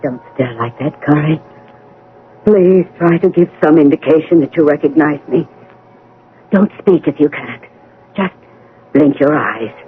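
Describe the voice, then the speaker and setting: A worried caring nurse in an old radio drama, earnest, dull broadcast sound. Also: female voice, sits low, animated, measured pace, pauses often. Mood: pleading. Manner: earnest, pleading delivery. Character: devoted female nurse, earnest, anxious, tender. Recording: muffled old radio broadcast, faint noise.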